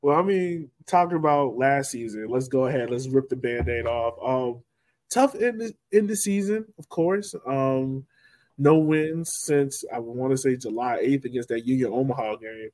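A man's voice: mid-range voice, normal speed, a little mellow, no room sound. A man hosting a podcast, asking questions in an informal, slangy way. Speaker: podcast host, interviewer. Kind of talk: casual chat interview.

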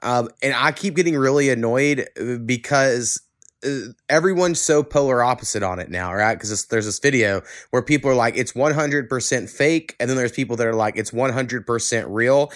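A man's voice: mid-range voice, moderate pace, no echo, studio quality. An American man hosting a podcast in casual chat, with an informal, rambling, laid-back manner. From Oklahoma, United States. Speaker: podcast host.

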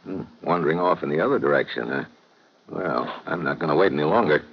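An actor playing a laconic, wry American secret agent in an old-time radio drama. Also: male voice, very deep voice, fast. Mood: cool, businesslike. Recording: muffled, noisy old radio recording.

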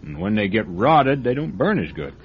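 A man's voice: lowish voice, sing-song, moderate pace, slight background noise. An actor playing a stubborn, busy farmer father in a radio drama, folksy and brusque in manner. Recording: dull, band-limited radio recording.

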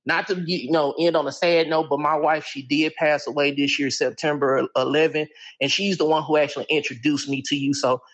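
A man's voice: on the high side, level tone, brisk, fairly bright tone. A man calling in to a talk show and speaking casually in slang. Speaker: caller. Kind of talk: casual phone-in call.

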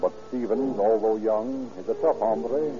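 A man's voice: fairly low pitch, measured pace, natural voice. An American actor playing a male narrator of a crime drama, formal and dramatic in old-time radio style. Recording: muffled old radio recording. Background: music.

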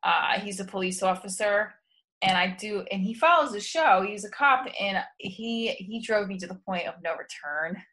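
A woman's voice: mid-range voice, expressive, normal speed, fairly bright tone. Rambling, frank woman host. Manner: rambling, many restarts, frank and profane. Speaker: host and comedian. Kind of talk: candid casual chat.

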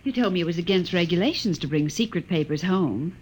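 An actress playing a gentle young mother in an old radio drama, easily impressed. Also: lowish voice, expressive, brisk, slight background noise, close to an everyday voice, poor audio. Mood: soothing. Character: warm, fussing young mother. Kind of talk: 1950s radio drama acting.

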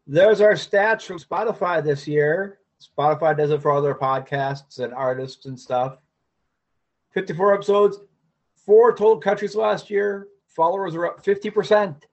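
A man's voice: sits high, moderate pace. A man co-hosting a podcast, chatting through local sports news, scores and schedules. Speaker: podcast co-host. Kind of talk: casual sports news rundown. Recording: dull lo-fi sound.

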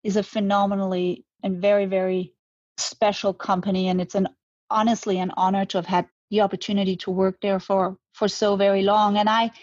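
A woman's voice: medium pitch, little pitch movement, brisk, a little mellow, no echo, dull audio. A woman, talking reflectively as an interview guest, often restarting her words. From Denmark. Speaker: guest, brand co-founder. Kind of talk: conversational interview.

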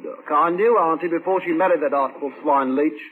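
Man acting sardonic London garage owner, raised pitch, chatty, working-class, radio drama, narrow noisy old sound. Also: a little fast, lightly acted. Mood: sardonic, exasperated.

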